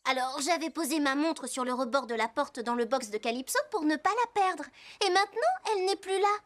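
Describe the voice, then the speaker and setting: A chatty teenage girl who loves horses in a cartoon. She speaks very high and animated, eager to share every thought. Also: a little fast. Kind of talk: cartoon dub voice acting.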